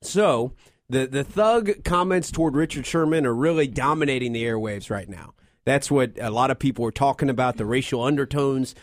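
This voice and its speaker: A man from Kentucky co-hosting a weekend sports talk radio show, relaxed and joking, who also reads sponsor lines. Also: medium pitch, normal speed, no room sound, clean studio sound. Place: radio studio.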